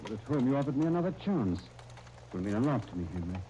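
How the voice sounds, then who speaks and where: An actor in a 1930s radio drama playing an earnest, entreating young lieutenant, on a noisy old broadcast disc. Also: male voice, mid-range voice, very animated, fast, mellow, lightly acted. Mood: pleading. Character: eager young British lieutenant, pleading younger brother.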